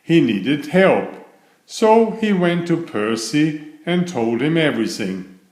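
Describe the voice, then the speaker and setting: A man reading a children's bedtime story aloud in a deliberate, expressive storytelling manner. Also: on the high side. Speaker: storybook reader. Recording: roomy, echoey sound.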